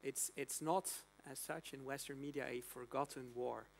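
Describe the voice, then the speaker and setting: A man's voice: medium pitch, fairly even intonation, moderate pace, vocal fry, a little hiss or hum. A man moderating an academic discussion, asking long questions with many fillers and restarts.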